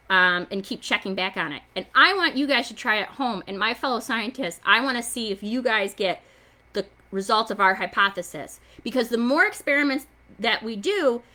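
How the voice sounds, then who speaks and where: Bright, high-voiced woman hosting children's science time. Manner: excitable, exclaiming, encouraging; simple questions to young viewers. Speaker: children's story time host. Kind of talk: hands-on science demo for young children.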